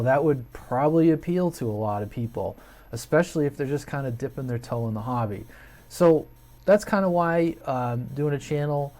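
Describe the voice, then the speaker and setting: A man's voice: medium pitch, darkish tone. An American man hosting a hobby video channel, talking casually and unscripted to viewers in a hesitant, rambling way, thinking aloud.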